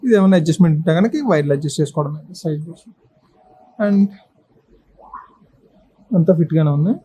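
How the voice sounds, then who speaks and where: Higher-voiced man giving a mellow tutorial. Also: a little fast, slightly rough. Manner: step-by-step explaining with short instructional phrases. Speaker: presenter of a how-to video. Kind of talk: casual hands-on tutorial.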